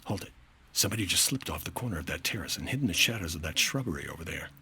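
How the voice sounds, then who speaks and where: A man's voice: low voice, expressive, racing, bright, forceful tone, a little hiss or hum. A man playing a brusque but decent insurance investigator in a 1950s radio detective drama, asking terse, probing questions. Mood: exasperated, commanding.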